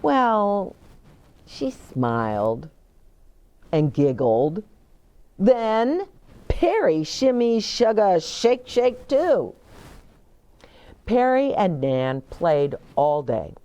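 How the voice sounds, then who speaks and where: Slow, expressive older woman storyteller. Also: mid-range voice. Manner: deliberate, simple explanations as to small children. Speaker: children's storytime reader and host. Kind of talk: expressive picture-book read-aloud with asides to young children. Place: indoor bookshop-like set with shelves, seated in armchair.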